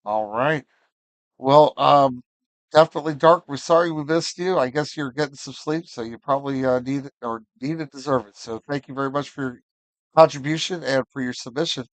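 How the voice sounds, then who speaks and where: A man's voice: mid-range voice, a little fast, dry recording. Casual male host with a dry, close sound. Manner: hesitant, apologetic. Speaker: host of an online music-sharing session. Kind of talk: casual live chat.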